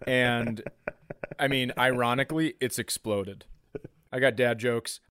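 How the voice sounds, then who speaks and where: A man hosts a comedy podcast with playful, joking banter. His mid-pitched voice sounds dry and close, with no room around it, and the talk is casual. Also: fairly even intonation, measured pace, a bit bright, clean tone, no room sound.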